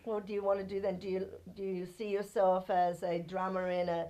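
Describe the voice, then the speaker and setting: A woman's voice: medium pitch, flat delivery, brisk, dark tone. Bubbly woman hosting local TV. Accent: non-American English. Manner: bubbly, gushing, talks to viewers as folks. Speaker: host of a local TV show. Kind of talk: chatty TV interview.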